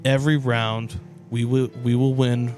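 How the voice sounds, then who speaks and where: A man's voice: medium pitch, flat delivery, unhurried, a little mellow, faint music behind. Jokey man co-hosting a casual podcast. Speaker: podcast co-host. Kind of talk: casual banter.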